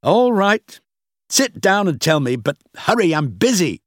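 Man acting blustering, arrogant businessman, pitched high. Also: sing-song, extremely slow, vocal fry, a bit bright, slightly rough, dry recording, clean studio sound, exaggerated voice. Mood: irritated, impatient. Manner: blustering, impatient, scornful. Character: angry, arrogant, dismissive businessman fortune teller. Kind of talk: audiobook character acting.

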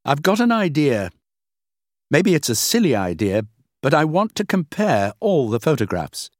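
A man's voice: on the high side, very animated, moderate pace, no room sound, studio quality, pitched above the performer's own voice. A man voicing a curious, earnest young woman researcher in an audiobook reading. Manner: polite, earnest, questioning.

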